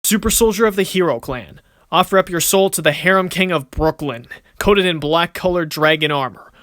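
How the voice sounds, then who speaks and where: A man's voice: a little high, normal speed, a bit bright, no echo, studio quality, close to an everyday voice. A man voicing a confident, cocky but good-natured young card duelist in casual, swaggering banter for an amateur anime-style series. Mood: battle-ready, confident.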